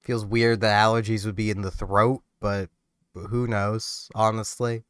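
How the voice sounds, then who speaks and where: A man's voice: fairly low pitch, little pitch movement, a little slow, clear, resonant tone, dry recording, studio quality. Congested man streaming. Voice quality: a bit congested. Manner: rambling, self-mocking, laughs often. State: congested, getting sick. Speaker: game streamer. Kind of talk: casual gameplay commentary.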